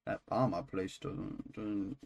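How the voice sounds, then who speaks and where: A man's voice: fairly low pitch, steady tone, measured pace, gravelly, crowd noise. A man co-hosting a podcast, chatting casually and irreverently about entertainment news, swearing freely. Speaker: podcast co-host.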